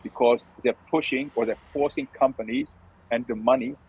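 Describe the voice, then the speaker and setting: A man's voice: mid-range voice, steady tone, moderate pace, slightly rough, highs cut off. A man co-hosting a casual live chat, talking bluntly and swearing, with many restarts. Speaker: co-host.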